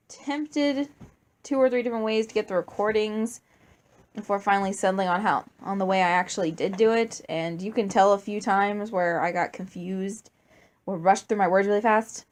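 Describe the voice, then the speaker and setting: A woman's voice: medium pitch, darkish tone. Woman, middle-range voice, fan fiction narrator, amateur, informal. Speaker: fan fiction writer and reader. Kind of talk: scripted story narration and casual chat.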